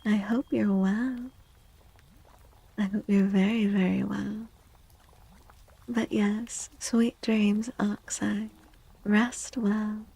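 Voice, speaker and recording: Breathy, soft-spoken woman with slight fry. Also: mid-range voice, flat delivery, drawn-out words, pauses often, low-quality recording. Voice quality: soft, breathy, often whispery. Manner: gentle, soothing, repeats phrases softly. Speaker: ASMR streamer host. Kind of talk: soft-spoken ASMR livestream chat.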